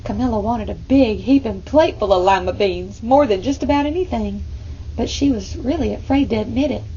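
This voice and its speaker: High-voiced woman reading to children. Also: brisk, dark tone. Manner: engaging, asks listeners questions. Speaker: storybook reader for children. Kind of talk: expressive read-aloud with asides to young listeners. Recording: muffled, clearly noisy room recording.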